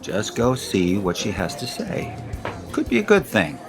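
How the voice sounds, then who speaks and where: Low-voiced, patronizing older father. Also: wildly swooping pitch, a little slow, over music. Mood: placating. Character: composed, authoritative father soothing his son. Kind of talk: audio soap opera acting.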